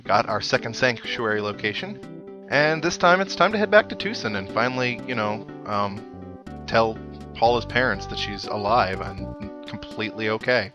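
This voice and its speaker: A man's voice: on the low side, animated, normal speed, highs cut off. A man giving casual, chatty video game commentary with wry asides, as the host of a let's play. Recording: game music playing behind the voice. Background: video game music.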